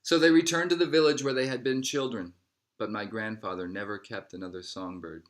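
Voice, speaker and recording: A man reading a picture book aloud as a narrator, in measured phrases that settle at the ends. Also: lowish voice, fairly even intonation. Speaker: storybook reader.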